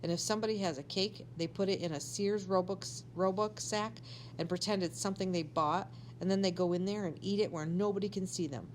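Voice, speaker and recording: A woman's voice: medium pitch, steady tone, fairly quick, slight background noise, close to an everyday voice, poor audio. Woman reading as a bossy, conspiratorial schoolgirl. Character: bossy, scheming schoolgirl telling sinister tall tales.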